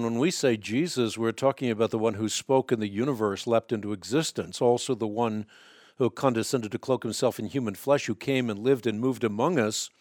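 A man hosting a call-in radio show and answering callers' questions in fluent, emphatic explanations, whose first language is Dutch, often restarting with quick repeated words. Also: mid-range voice, a little mellow, no echo, clean studio sound. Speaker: radio talk show host.

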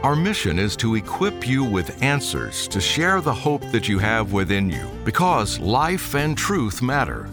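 A man reading a scripted radio show introduction in a smooth announcer manner. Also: mid-range voice, sing-song, a little slow, few pauses. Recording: announcer voice over guitar music bed.

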